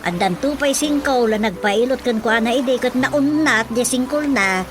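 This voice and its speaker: A middle-aged Ilocano village mother played by a woman in a radio drama, talking in a fairly high voice. She is superstitious and tells her tales expressively and conversationally. Music plays clearly underneath. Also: normal speed, natural voice. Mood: earnest storytelling. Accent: Filipino, Ilocano. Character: chatty superstitious village mother who believes in folk healing.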